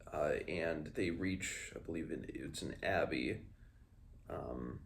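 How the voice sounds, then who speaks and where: A man hosting a read-along, reading a fantasy novel aloud and adding informal recap, measured in the reading and hesitant in his comments. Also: a bass voice, creaky, slight background noise. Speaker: book read-along host.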